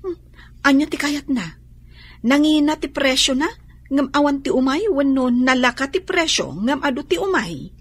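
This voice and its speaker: A woman's voice: high voice, expressive, normal speed, no echo. A woman playing a feisty, scheming young shop employee with an Ilocano Filipino accent in a radio drama, assertive and complaining. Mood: scheming, bold.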